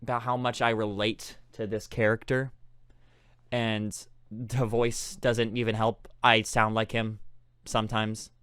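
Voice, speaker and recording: A man giving a casual, heartfelt fan tribute in an earnest, halting way. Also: fairly low pitch, level tone, a bit bright, smooth, no echo, clean studio sound. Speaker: fan contributor, aspiring voice actor.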